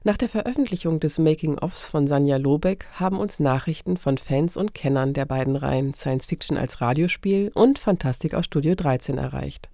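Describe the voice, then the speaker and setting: A woman's voice: sits low, moderate pace, creaky, hardly any pauses, mellow, no echo, studio quality. A woman reading a formal, factual scripted announcement as a podcast editor.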